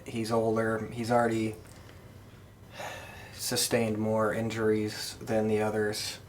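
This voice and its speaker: A man's voice: lowish voice, completely flat delivery, slow, a little hiss or hum. A man co-hosting a podcast in casual, conversational discussion. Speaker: podcast co-host.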